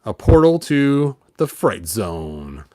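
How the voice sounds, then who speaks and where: A man voicing a haughty, jealous villain sorceress in a storybook, bold and deliberate as she bargains. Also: lowish voice, sing-song, darkish tone, dry recording, studio quality. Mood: intent.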